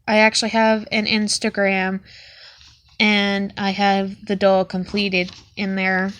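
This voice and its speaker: A woman's voice: mid-range voice, completely flat delivery, slow, fairly bright tone, slight background noise. A young woman with a sniffly cold, showing her sketchbook in a hesitant, casual way with frequent ums. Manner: hesitant, with many ums and restarts.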